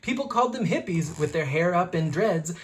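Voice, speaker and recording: Teacher reading a rhyming kids book out loud, medium pitch, really animated and rhythmic. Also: fairly quick. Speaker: educator reading a children's book aloud. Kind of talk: rhyming picture-book read-aloud.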